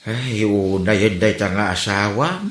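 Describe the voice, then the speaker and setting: A man's voice: on the low side, measured pace, a bit bright. A man acting a grumbling but caring elderly father and grandfather in a comedy drama, in an echoey room.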